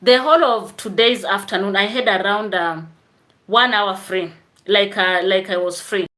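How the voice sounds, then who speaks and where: Lady on a youtube live, chatty and lively, bright sharp voice. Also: mid-range voice, measured pace. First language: Swahili. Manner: animated, greets viewers by name, frequent laughs. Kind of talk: casual live stream chat.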